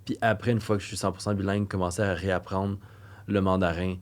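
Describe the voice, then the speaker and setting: A man's voice: deep voice, little pitch movement, quick pace. A young man with a Québécois accent co-hosting a coaching podcast, talking in an earnest, rambling way that trails off mid-thought. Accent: Québécois French. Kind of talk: casual chat.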